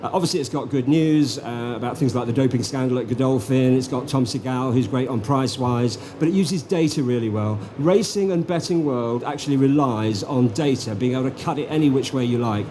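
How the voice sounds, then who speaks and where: A man introducing himself on a conference panel, brisk and chatty with a few fillers. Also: medium pitch, dark tone. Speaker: panelist, industry association chief executive. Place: conference hall panel stage. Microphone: panel microphone. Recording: noisy conference hall recording.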